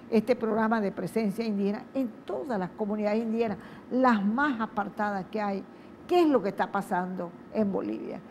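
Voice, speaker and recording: A woman's voice: medium pitch, darkish tone, slight background noise. A Venezuelan woman hosting a TV program, introducing her guest in a solemn, emphatic, speech-like manner. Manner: solemn, emphatic, repetitive phrasing. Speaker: TV program host. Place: outdoors at a public event.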